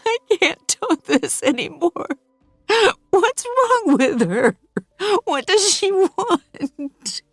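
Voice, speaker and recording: A frightened young mother spills out breathless, pleading lines, as a woman narrator puts her on. Her voice climbs high and wavers with nerves. The recording is dry and close. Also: very animated, measured pace, darkish tone, no room sound, faint music behind. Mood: panicked. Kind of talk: audiobook character voice.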